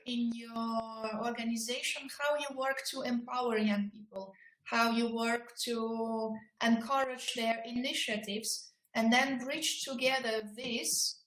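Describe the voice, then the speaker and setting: Woman, higher-pitched, unhurried and deliberate, explaining in short phrases over an online call with roomy echo. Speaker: project colleague. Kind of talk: explanatory remarks in a conference call.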